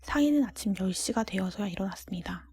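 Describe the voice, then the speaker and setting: Whispering woman reading a storybook, breathy and mellow. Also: medium pitch, lively intonation, fast. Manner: hushed, gentle, careful narration. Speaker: storybook reader.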